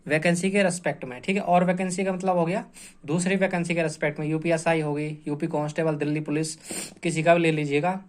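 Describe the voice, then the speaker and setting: A young man giving an informal point-by-point lesson as an online exam-prep host, repeating himself and often checking in with listeners. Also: on the high side. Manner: repetitive, often checking in with "okay" tags.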